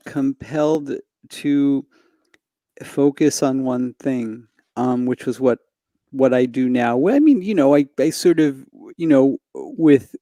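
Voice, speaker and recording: Slow, mellow-voiced hesitant man. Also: medium pitch, no room sound. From Los Angeles, United States. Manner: hesitant, many fillers and restarts. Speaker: singer guest. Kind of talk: reflective conversation. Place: home room indoors. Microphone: headset and desk mic on video call.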